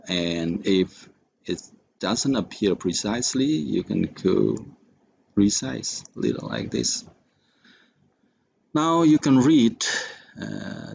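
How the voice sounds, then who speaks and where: A man with an Indonesian accent giving an unscripted software tutorial in a halting, hesitant manner. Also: sits low, gravelly, a little hiss or hum. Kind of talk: unscripted screen-recorded tutorial.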